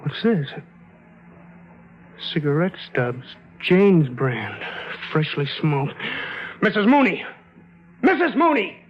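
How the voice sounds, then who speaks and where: Casting: grieving, weary husband doubting his sanity, edgy, lighter-pitched voice, muffled vintage radio play. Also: big pitch swings, slow pace, long pauses, soft background music. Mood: agitated, bewildered. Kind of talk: 1950s radio drama acting. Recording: muffled old radio broadcast.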